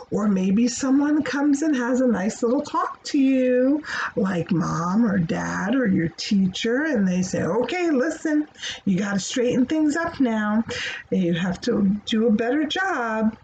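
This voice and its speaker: A woman hosting a children's storytime, talking to young listeners in an animated, teacherly way with rhetorical questions. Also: a little high. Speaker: children's read-aloud storytime host. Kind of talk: child-directed talk about a story. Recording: dull, faintly noisy recording.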